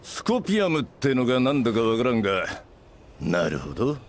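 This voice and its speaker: Gruff middle-aged company-boss father, barking and rough, with a lively, swinging delivery. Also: medium pitch, a little fast. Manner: loud, rough-spoken, barking. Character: gruff blustering father who runs a company. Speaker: game character.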